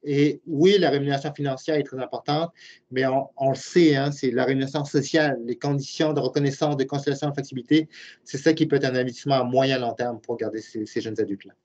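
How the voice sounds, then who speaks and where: A man's voice: medium pitch, fairly even intonation, quick pace, darkish tone. A man in his late forties with a Québécois accent, a program director earnestly explaining his views while answering webinar questions. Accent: Québécois French. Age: late 40s. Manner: earnest, explanatory, thinks aloud. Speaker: university program director and professor. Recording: muffled lo-fi web call audio.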